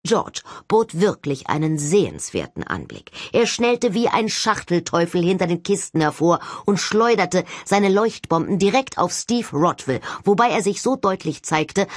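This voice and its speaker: A woman narrates fiction in a fairly low voice. The delivery is expressive and scripted, as for an audiobook, and the recording is very dry. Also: normal speed, few pauses, no echo.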